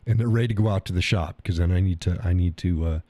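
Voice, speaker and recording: A man's voice: deep voice, quick pace, warm tone, no room sound. A man with a stuffy nose, hosting a casual live stream, rambling in a folksy way and reading chat messages aloud. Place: home workshop room.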